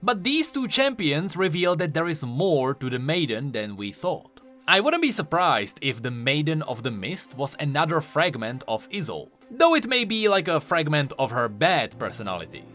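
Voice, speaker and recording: Male game lore explainer: highish, swooping pitch, emphatic and enthusiastic, light music underneath. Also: sing-song, normal speed, hardly any pauses, fairly bright tone. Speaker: video game lore commentator. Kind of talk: explanatory commentary voice-over. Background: faint music.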